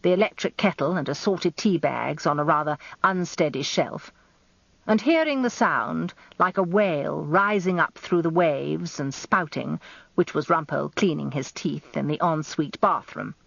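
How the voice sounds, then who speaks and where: A woman's voice: low-pitched, normal speed, vocal fry, no echo, highs cut off. An older woman with an English accent giving scripted first-person dramatic narration as a barrister's wife, confiding and wry.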